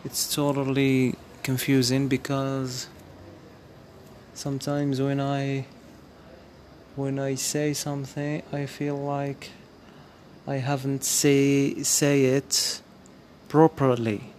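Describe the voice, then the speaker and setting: Man, Amazigh speaker, very slow, halting speech full of restarts, faint hum of air conditioning behind. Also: mid-range voice, monotone, darkish tone. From Morocco. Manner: hesitant, searching for words, many restarts. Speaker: vlogger. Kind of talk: casual spoken monologue to viewers.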